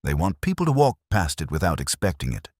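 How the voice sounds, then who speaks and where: A very deep-voiced painter acted by a male narrator, uneasy and candid under questioning. He answers plainly and a little hesitantly in a detective story. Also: wildly swooping pitch, fairly quick, dry recording, clean studio sound, heavily acted.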